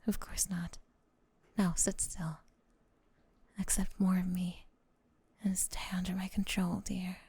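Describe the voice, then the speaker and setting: A woman's voice: fairly low pitch, flat delivery, slow, long pauses, warm tone, husky, full character voice. A woman voicing a doting, possessive snake-girl girlfriend with sweet menace, in an intimate, coaxing ASMR roleplay that often drops to a whisper. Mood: tender, controlling.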